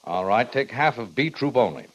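A man's voice: medium pitch, lively intonation, a little hiss or hum, natural voice. Man playing a stern army major, muffled radio. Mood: stern, official. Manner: formal, authoritative, measured orders. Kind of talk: 1950s radio western acting. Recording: muffled old radio recording.

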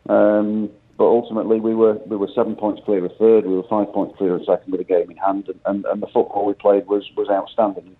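Phone guest: low-voiced man, halting speech full of restarts, tinny line. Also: level tone, breathy edge. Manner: halting, many restarts and fillers. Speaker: football manager guest. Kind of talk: phone interview.

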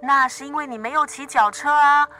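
An actress playing a chatty, bossy but worried best friend in a radio drama, animated and nagging, with a Singaporean accent. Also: female voice, sits high, a little fast, faint music behind. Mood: explaining. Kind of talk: Mandarin radio drama acting.

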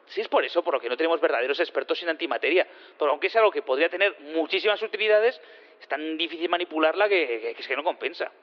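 An actor in an audio drama playing an eager space physicist on the witness stand, rambling, hesitant and repeating himself. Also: male voice, a little high, expressive, fast, close to an everyday voice. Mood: explanatory, flustered. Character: rambling, eager male space physicist who teleports things. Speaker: witness in a courtroom. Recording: dull lo-fi sound in long reverb.

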